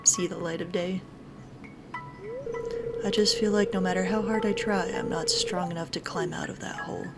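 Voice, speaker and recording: Woman reading as a gloomy, creaky-voiced drinker. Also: lowish voice, steady tone, normal speed, loud music behind the voice, lightly acted. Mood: despairing. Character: gloomy, self-pitying young man drinking beer. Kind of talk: reading video game dialogue.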